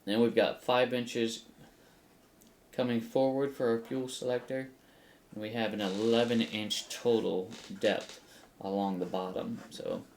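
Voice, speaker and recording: Halting middle-aged man, casual explainer. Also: mid-range voice, fairly even intonation, a little mellow. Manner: halting, thinking aloud. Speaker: host of a DIY flight simulator build channel. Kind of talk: casual hands-on build explanation. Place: small home workshop room, indoors. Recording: faint background room noise.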